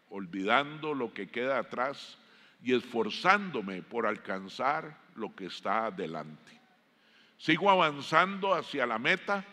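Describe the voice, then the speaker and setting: Casting: middle-aged Costa Rican male preacher, bright forceful voice, deliberate pauses, long auditorium reverb. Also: mid-range voice. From Costa Rica. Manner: deliberate, with frequent pauses and emphatic phrasing. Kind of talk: sermon. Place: large indoor church auditorium stage. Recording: long hall reverb from stage sound system.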